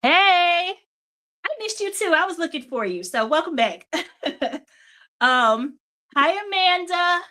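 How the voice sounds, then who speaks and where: A woman's voice: very high voice, animated, takes it slowly, long pauses, bright, forceful tone. A middle-aged woman hosting a live faith and business stream, chatty and encouraging, says y'all, greets viewers by name, and pushes through a strained voice she is close to losing.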